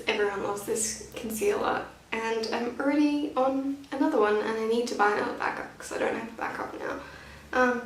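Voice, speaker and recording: A woman in her twenties with a fairly high voice talks in a chatty, informal way, like a beauty vlogger reviewing products. She is a little self-deprecating. The recording is clearly echoey, and she sounds set back from the microphone. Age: 20s. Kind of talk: casual product review chat to camera. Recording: roomy echo, distant from the mic.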